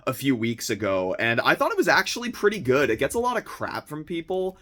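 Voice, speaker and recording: A young man with a slightly hoarse, worn voice after being sick talks straight to his viewers. He rambles eagerly through an unboxing, full of fillers and restarts, and he keeps getting excited about what he pulls out. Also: mid-range voice, brisk. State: slightly hoarse, recovering from illness. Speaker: vlogger unboxing a film subscription box. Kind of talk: casual unboxing chat.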